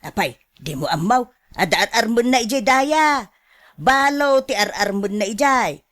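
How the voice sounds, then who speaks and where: Radio drama role: meddling, scolding older matriarch, drawn-out emphatic delivery acted by a woman. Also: a little high, lively intonation, fairly bright tone. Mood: nosy, teasing. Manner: admonishing, emphatic, gossipy. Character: nosy, scolding older sister and mother with pension.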